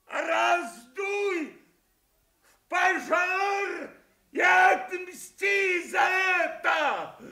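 An old blinded nobleman in a radio tragedy. He shouts in agony at a very high pitch, drawing the words out extremely slowly, in an echoing vintage recording. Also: creaky, a faint crowd, exaggerated voice. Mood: anguished, vengeful. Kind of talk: classical stage tragedy acting for radio.

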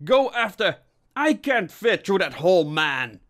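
A man's voice: high voice, big pitch swings, measured pace, fairly bright tone, pitched above the performer's own voice, heavily acted. Man acting a stern, raised-voice police inspector. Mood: exasperated. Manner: stern, barking orders. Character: commanding, short-tempered male police inspector, dramatic and exasperated. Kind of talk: audiobook character voice.

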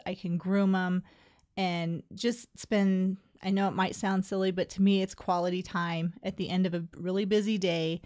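Middle-aged woman explaining her barn chores. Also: medium pitch. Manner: plain, friendly, explanatory. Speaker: horse owner vlogger. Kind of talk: casual how-to narration.

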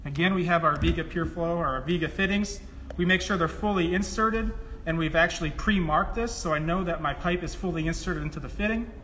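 A man's voice: on the high side, fairly quick. A man in his thirties giving a step-by-step, instructional product demonstration. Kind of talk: live tool demonstration. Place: trade show booth in a large indoor hall. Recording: echoey hall, loud clicks and background noise.

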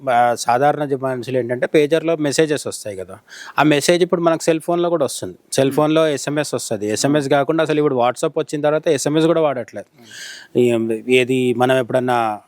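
A man's voice: mid-range voice, fast, a little mellow, no room sound. A man giving informal news analysis in conversation, explaining and often rephrasing as he goes. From India. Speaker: journalist interviewed as commentator.

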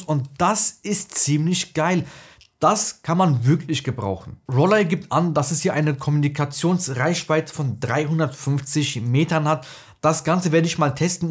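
A man's voice: fairly high pitch, breathy edge. A man in his thirties talks through a tech product to camera. He explains it casually and colloquially, like an online reviewer. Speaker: tech reviewer, video host.

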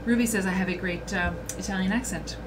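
A woman's voice: medium pitch, quick pace, background noise. A woman hosting a casual livestream chat from a small room, reading viewer comments aloud and talking to them directly. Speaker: host.

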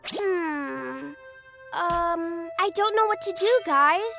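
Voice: very high voice, lively intonation, very slow, creaky, exaggerated voice. A performer voicing a shy, sweet little kindergarten girl in a children's cartoon, polite and a bit hesitant. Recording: loud jingly music behind the voice.

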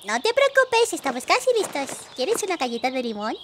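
A tiny, sky-high voice plays the sweet spirit of Life in a cartoon. She gushes cheerfully in Latin American Spanish, swinging widely in pitch over loud noise. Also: big pitch swings, brisk. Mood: cheerful, coaxing. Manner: gushing, cheerful, exclamatory. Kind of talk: animated cartoon dub.

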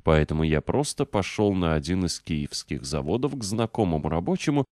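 A man with a low, deep voice reads an audiobook from a script. His delivery is measured and clearly articulated. The sound is dry, with very little room in it. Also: expressive, a little mellow, dry recording. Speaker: audiobook narrator.

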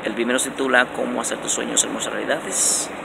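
A man in his forties giving motivational self-help advice in a casual vlog, talking with animated emphasis and lots of repetition, filmed on a phone held at arm's length in an underground station. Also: fast talker, creaky. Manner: animated and repetitive, many restarts, stressing words with rising pitch. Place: indoor underground transit station, concrete walls. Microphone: phone camera held close at arm's length. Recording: noisy phone recording in a station.

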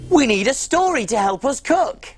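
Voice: sits high, very animated, moderate pace, loud music behind the voice. A performer voicing a cheerful cook puppet on a children's TV show, talking in a chirpy, exclamatory way. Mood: puzzled. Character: cheerful grown-up cook puppet, Small's friend.

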